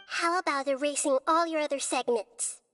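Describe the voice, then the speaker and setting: A woman playing a small, wise young goddess in a video game, speaking in a composed, deliberate and quietly firm way. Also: high-pitched, faint music behind. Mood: firm, challenging.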